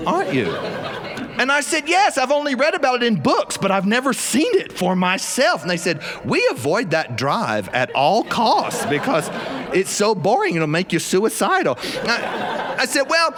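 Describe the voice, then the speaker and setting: A man from South Carolina, telling a humorous story live in an animated, folksy, comic manner. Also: a little high.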